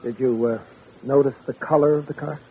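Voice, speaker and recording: A man's voice: medium pitch, steady tone, brisk, lightly acted. An actor playing a cautious, scheming man who passes as a concerned friend, placating and hesitant, in 1940s radio drama style. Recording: tinny, noisy vintage radio recording.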